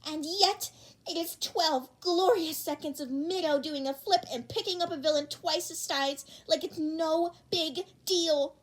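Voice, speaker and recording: A high, loud, excitable teenage girl, played by a woman reading an audiobook. She gushes dramatically and playfully, pitched well up. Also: measured pace. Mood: gushing. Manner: gushing, dramatic. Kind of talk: fan fiction audiobook character reading.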